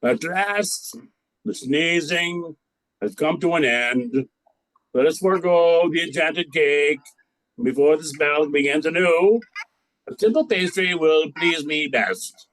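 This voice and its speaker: A man's voice: a little high, slow, a bit bright, poor audio. An older man playing a solemn fairy-tale king in a radio play, speaking formally as if passing judgment. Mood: regal, solemn.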